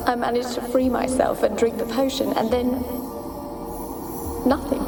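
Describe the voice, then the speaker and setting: A woman's voice: a little high, little pitch movement, normal speed, vocal fry. An actress playing a lonely, fearful young noblewoman's wraith in a video game, with plaintive, archaic, dramatic phrasing. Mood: anguished. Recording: echoing, distant voice under music.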